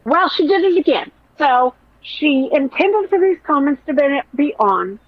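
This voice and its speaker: A woman hosting a podcast in casual commentary chat, with a nasal, whiny voice and a blunt, profane, animated way of talking. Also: high-pitched, unhurried, no room sound, dull audio. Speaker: podcast host.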